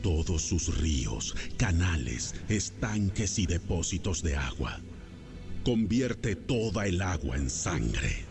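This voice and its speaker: A solemn, commanding God for a dramatized scripture recording. The voice is very deep and moves slowly, with weighty authority. Music plays clearly behind it. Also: male voice, very animated, exaggerated voice. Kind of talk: dramatized audio Bible.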